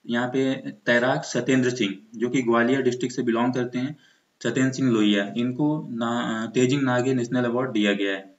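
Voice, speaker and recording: A man's voice: medium pitch, steady tone, fairly quick. Echoey, muffled Indian man giving a lesson. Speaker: teacher for exam preparation. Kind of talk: explanatory lecture mixing in English terms. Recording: muffled, echoey room recording.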